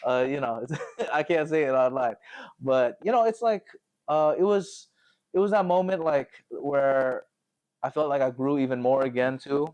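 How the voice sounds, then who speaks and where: A man's voice: mid-range voice, normal speed, darkish tone, clear, resonant tone. An American man chatting casually and telling stories in a slangy, informal way, often with a chuckle. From Connecticut, United States, later Indonesia. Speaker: podcast host telling his life story. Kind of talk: casual conversational storytelling.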